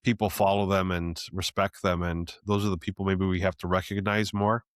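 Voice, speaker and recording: Deep-voiced easygoing male host. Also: flat delivery, fairly quick, no room sound, studio quality. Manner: easygoing, with many fillers and restarts, ending lines with "right?". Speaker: podcast host. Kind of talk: casual interview conversation.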